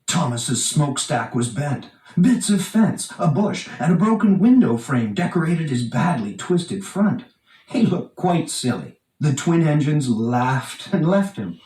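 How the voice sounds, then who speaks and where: A man reading a children's storybook aloud with expressive, dramatic emphasis. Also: a little high, measured pace. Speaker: storybook narrator. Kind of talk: animated read-aloud children's storybook narration. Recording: roomy, echoing, distant from mic.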